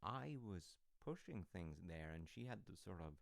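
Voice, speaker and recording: A man playing a gentle, affectionate dad in an intimate comfort roleplay, musing and reassuring in a halting, tender way. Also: deep voice, big pitch swings, gravelly, no room sound, clean studio sound, exaggerated voice. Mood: reflective. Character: gentle, affectionate storytelling dad comforting his grown child.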